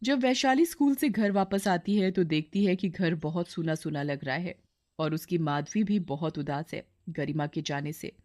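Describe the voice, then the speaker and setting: A woman's voice: lowish voice, moderate pace, darkish tone. A woman storyteller reading a scripted moral tale as its narrator.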